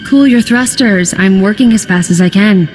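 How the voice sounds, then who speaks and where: A woman's voice: mid-range voice, normal speed, lightly acted. A woman playing a wry, jaded smuggling contact in a video game, speaking with dry humor. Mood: focused, wary. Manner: wry, sardonic. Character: dry, jaded but soft-hearted female smuggling contact. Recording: long reverb with music behind.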